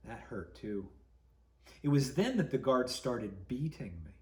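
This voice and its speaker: A man reading a story to children as a pastor would, dramatic and expressive, with deliberate pauses. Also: mid-range voice, roomy sound.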